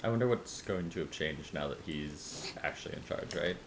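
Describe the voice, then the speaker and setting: A man's voice: extremely low pitch, expressive, moderate pace, noisy background. A man casually commenting while playing a story game, with dry offhand asides. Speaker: streamer.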